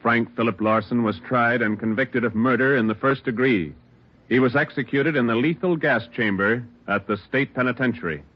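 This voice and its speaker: A man's voice: medium pitch, moderate pace. A male announcer giving the formal closing credits of a 1940s radio show. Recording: dull lo-fi radio broadcast over loud brass music.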